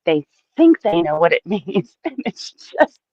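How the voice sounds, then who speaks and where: A woman's voice: on the low side, sing-song, measured pace, a little mellow, no room sound, dull audio. A woman chatting casually as a livestream co-host, giggly and prone to repeating her phrases.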